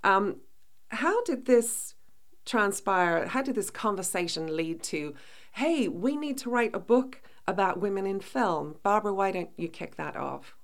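A woman hosting a radio talk show, her voice hoarse while she recovers from bronchitis, enthusiastic as she introduces guests. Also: mid-range voice, expressive, unhurried.

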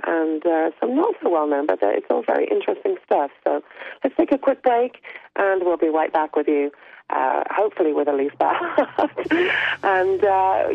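Talk host, woman, gravelly voice still recovering from laryngitis, chatty, tinny phone-line sound. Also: lowish voice, dry recording. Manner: chatty, laughs often while telling stories. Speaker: radio talk show host. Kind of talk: casual on-air chat.

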